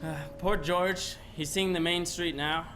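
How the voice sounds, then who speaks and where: A man playing a gentle, earnest, childlike elderly angel in a 1940s-style radio play. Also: high-pitched, steady tone, a little slow. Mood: dutiful, concerned. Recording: live stage play with music behind.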